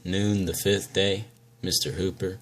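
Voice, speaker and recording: A man performing a film monologue as a grim, weathered old sailor, telling his story deliberately with pauses. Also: sits low, fairly even intonation, background noise. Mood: grim, haunted. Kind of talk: dramatic film monologue recitation.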